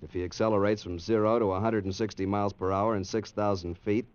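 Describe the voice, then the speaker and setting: A man playing an earnest, uneasy engineer in a 1950s radio drama, speaking in a deliberate, hesitant way. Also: lowish voice, steady tone, darkish tone, clear, resonant tone, lightly acted. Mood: grave. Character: earnest, uneasy male engineer briefing a colonel. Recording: dull vintage radio recording.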